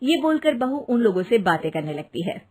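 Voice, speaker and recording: A woman's voice: a little high, sing-song, a little fast, breathy edge. A woman storyteller giving scripted narration for a comic moral tale.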